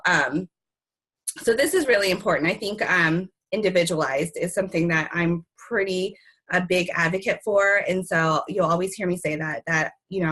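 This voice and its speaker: A woman's voice: low-pitched, fairly bright tone, husky, poor audio. Woman with a worn voice teaching a webinar. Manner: friendly, many ums, repeats herself. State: voice worn from recent illness. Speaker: presenter of an online conference session. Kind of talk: webinar presentation.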